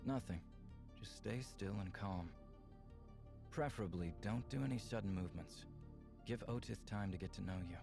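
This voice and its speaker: Calm, reassuring young-hero type played by a man, music behind. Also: on the low side, normal speed, creaky, long pauses. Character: calm, reassuring man bonded to an alien symbiont. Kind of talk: visual novel voice acting.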